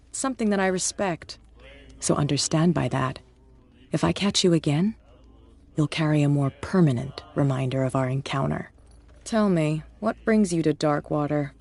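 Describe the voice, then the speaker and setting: A man voicing a tough, shrewd male gang boss who talks in a calm, threatening, businesslike way. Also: on the high side, vocal fry. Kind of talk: video game voice acting.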